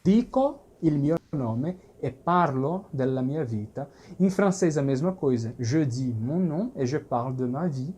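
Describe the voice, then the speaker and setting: A man's voice: medium pitch, expressive, unhurried, hardly any pauses, mellow, slightly rough. A man teaching a lesson, explaining patiently and checking understanding as he goes. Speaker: language teacher. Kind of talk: recorded language lesson.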